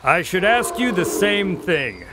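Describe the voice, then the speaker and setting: Exasperated male sidekick, radio drama storyteller, lightly high pitch, very animated, dry delivery. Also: slow, background noise, full character voice. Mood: alarmed, scolding.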